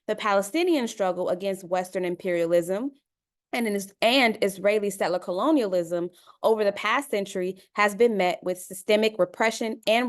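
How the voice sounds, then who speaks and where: A woman from Chicago facilitating an online panel discussion, talking in a conversational way and calling her listeners y'all. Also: mid-range voice. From Chicago, United States. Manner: conversational, addresses listeners as y'all, many uh fillers. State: dry mouth.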